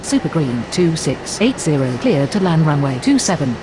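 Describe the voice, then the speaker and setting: Higher-pitched man acting as a radio controller. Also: sing-song, moderate pace, background noise. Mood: businesslike. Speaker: pilot and air traffic controller radio voice. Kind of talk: scripted aviation radio phraseology.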